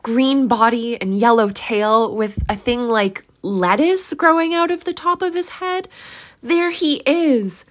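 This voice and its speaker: An old miser from a storybook, played by a woman, exclaims in childlike ecstasy. The voice leaps high and loud, excitable and close to tears. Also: slow pace. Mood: excited, tearful. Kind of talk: storybook character voice.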